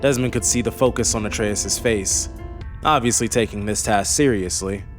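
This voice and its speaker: Low-voiced male fantasy narrator. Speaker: audiobook narrator. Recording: faint music under the voice.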